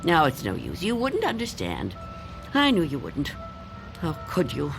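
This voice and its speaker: Gravelly, frightened old recluse. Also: female voice, low voice, wildly swooping pitch, exaggerated voice. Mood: bitter, agitated. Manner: fearful, halting, dramatic, insistent. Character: frightened reclusive old woman hiding from Death. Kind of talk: radio drama acting. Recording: loud music under the voice.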